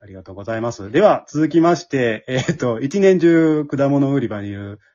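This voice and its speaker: Casual talk host, Kansai accent, husky voice, slightly dull recording. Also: mid-range voice, lively intonation, fairly quick, dark tone. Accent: Kansai Japanese. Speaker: host of an after-show talk. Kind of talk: casual group chat.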